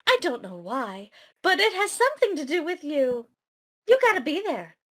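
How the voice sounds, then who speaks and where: A woman's voice: high-pitched, wildly swooping pitch, normal speed, voice pushed up. A woman playing a wounded, pleading woman in a theatrical, emphatic fantasy melodrama read. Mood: wounded, intense. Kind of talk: amateur table read, melodramatic acting.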